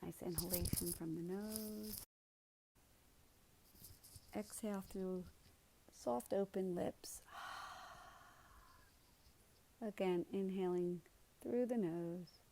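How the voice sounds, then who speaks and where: A woman leading a guided meditation in a soothing, unhurried way, with soft, gentle delivery and pauses. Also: on the low side, steady tone, slight background noise, rough recording.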